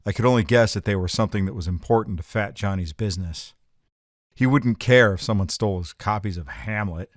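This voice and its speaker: Low-pitched hardboiled male detective, acted by a man. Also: no room sound, clean studio sound, lightly acted. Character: wry, hardboiled male private eye. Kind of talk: noir scripted narration.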